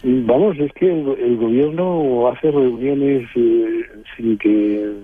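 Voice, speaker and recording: Male interview guest, husky, halting storyteller, dry narrow phone sound. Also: medium pitch, dry recording, faint music behind. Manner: halting, digressive, frequent tag questions. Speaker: guest, travel writer. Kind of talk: conversational travel anecdotes in an interview. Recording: muffled, phone-like narrowband line.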